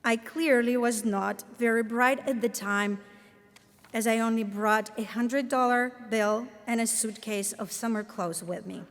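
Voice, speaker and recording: A woman in her thirties with a Latvian accent, giving a formal commencement address in a measured, earnest, deliberate way. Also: a little high, steady tone. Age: 30s. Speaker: commencement speaker, professor. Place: large ceremony hall. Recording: reverberant hall sound.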